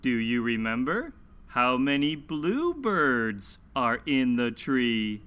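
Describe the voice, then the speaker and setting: A man hosting a children's read-along, reading aloud and teaching in deliberate, simple phrases for young learners. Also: mid-range voice, very animated, a bit bright, smooth. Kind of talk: slow, scripted children's read-aloud lesson.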